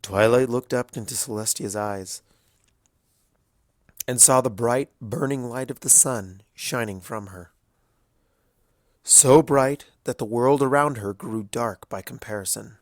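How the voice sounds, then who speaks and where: A man reading a dramatic story as narrator in a solemn, hushed, deliberate way. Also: medium pitch, dry recording, clean studio sound. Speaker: fan fiction narrator.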